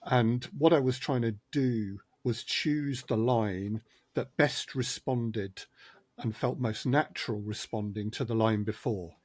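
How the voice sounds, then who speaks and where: A man's voice: medium pitch, slow, no room sound. A man, a podcast guest, thoughtfully explaining his production process step by step. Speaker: guest, audio drama producer. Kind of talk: casual podcast conversation.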